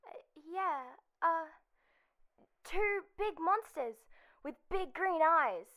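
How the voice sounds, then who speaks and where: A woman's voice: extremely high pitch, expressive, extremely slow, bright, forceful tone, full character voice. A woman voicing a naive, fibbing little wooden puppet boy with a stammering, pleading manner in a children's radio play. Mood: nervous, evasive.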